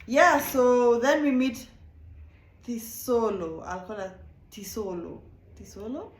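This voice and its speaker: A woman's voice: on the high side, takes it slowly, reverberant. A young Kenyan woman chattily reviewing a reality show, correcting herself often. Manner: chatty and animated, with frequent self-corrections. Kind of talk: casual video review.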